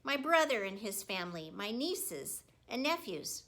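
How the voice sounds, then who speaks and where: A woman's voice: mid-range voice, very animated, a little slow, fairly bright tone. A woman reading a children's book, voicing a friendly, chummy male school janitor who talks in a folksy, exclamatory way. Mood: chatty, confiding. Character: friendly, hearty male school janitor, big-hearted and chatty. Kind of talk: children's book read aloud.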